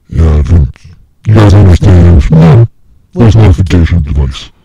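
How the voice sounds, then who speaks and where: A man speaking through a voice changer that drops his voice very deep, playfully showing off the effect. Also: vocal fry, mellow, breathy edge, no room sound, lo-fi sound, heavily acted. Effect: pitch-lowered voice changer.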